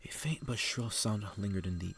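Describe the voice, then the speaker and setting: A man reading a story aloud in a soft ASMR style, hushed and intimate, often slipping into whispers. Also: fairly low pitch, moderate pace, creaky. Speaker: ASMR reader. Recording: faint background noise.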